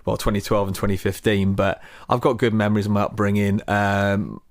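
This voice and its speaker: A man's voice: on the low side, little pitch movement, fairly quick, no echo, clean studio sound. A man in his thirties with a Shropshire accent and a slight Cockney twang, chatting casually as a podcast guest, rambling with restarts. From West Midlands, England. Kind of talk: casual chat.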